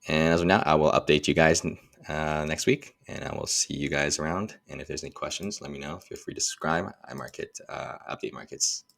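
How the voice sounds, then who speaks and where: Super deep gravelly guy doing a casual trader market update, kinda halting, thinking out loud. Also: fairly even intonation. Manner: informal, thinking aloud, halting. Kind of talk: casual screen-share market commentary.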